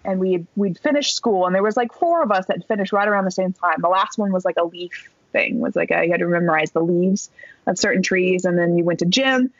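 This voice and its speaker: A woman in her thirties telling a story as a podcast guest, talking in a casual, animated way with lots of fillers and the odd swear word. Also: on the low side, brisk, no echo. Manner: animated, chatty, many fillers and swear words. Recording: dull dry podcast recording.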